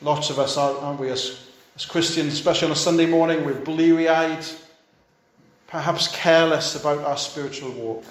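A man's voice: a little high, roomy sound, rough recording. A fifty-year-old male pastor preaching a sermon, urging his listeners on in short, repeated, earnest phrases.

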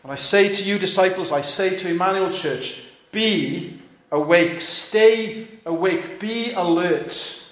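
A man's voice: high-pitched, slow pace, fairly bright tone, echoey room. A fifty-year-old male pastor preaching a sermon, urging his listeners on in short, repeated, earnest phrases.

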